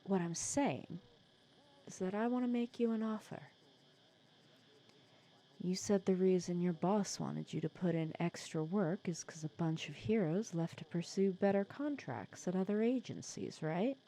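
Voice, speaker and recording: A woman playing a soft, low, sly villainess. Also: lively intonation, a little slow. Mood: teasing, coaxing. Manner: casual, teasing, persuasive, sardonic. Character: sly female supervillain, secretly caring, courting her hero nemesis. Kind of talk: intimate ASMR audio roleplay.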